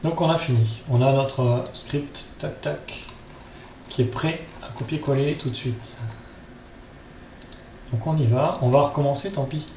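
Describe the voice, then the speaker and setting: A man's voice: mid-range voice, fairly even intonation, unhurried, pauses often, darkish tone. A man hosting a casual screen tutorial, improvising and thinking aloud with frequent tag questions. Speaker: tutorial host. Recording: roomy echo, faint background noise.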